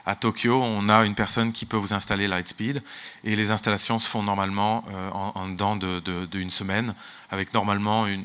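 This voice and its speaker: A man's voice: lowish voice, bright, forceful tone, clear, resonant tone. A man whose first language is English, speaking as a tech executive at a business conference, often restarting and repeating words. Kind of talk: conference talk.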